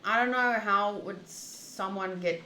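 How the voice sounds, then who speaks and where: Bright-voiced woman streamer in an echoey room. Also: medium pitch, slow. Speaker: streamer playing a visual novel. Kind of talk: casual playthrough commentary and reading game text aloud. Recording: roomy echo, faint background noise.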